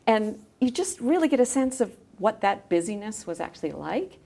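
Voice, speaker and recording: A woman chatting in a casual discussion, speaking in a halting, thoughtful way as she works through examples. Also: mid-range voice, very animated, gravelly, warm tone. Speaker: podcast guest, journal editor.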